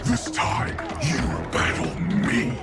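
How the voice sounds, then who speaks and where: An actor playing a proud, ruthless warrior in a video game, gritty, intense and defiant. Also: male voice, mid-range voice, wildly swooping pitch, slow pace, a loud crowd. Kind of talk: dramatic video game acting. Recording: loud music over the voice.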